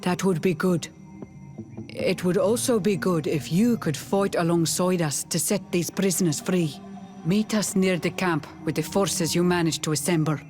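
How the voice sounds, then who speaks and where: A woman playing a proud, warlike clan leader in a video game, with solemn, deliberate, formal delivery. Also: on the low side, darkish tone, faint music behind, close to an everyday voice. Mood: resolute. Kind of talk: video game dialogue acting.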